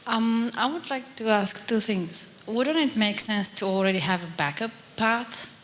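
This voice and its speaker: A woman's voice: a little high, a little slow, a bit bright. A woman in a conference audience asks the presenter polite, direct questions. Faint room noise sits behind her. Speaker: audience member asking questions.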